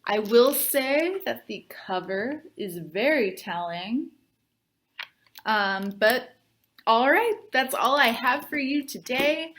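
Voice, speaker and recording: A woman, a librarian, reading a children's book aloud and chatting to viewers in an expressive, upbeat way. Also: fairly high pitch, takes it slowly.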